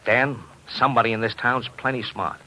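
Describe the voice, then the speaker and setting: A man's voice: mid-range voice, fairly even intonation, normal speed, bright, forceful tone. An actor playing a worried, slangy betting agent, a big man, in a 1950s radio drama. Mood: worried, frustrated. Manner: slangy, hard-boiled talk. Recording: noisy old radio recording.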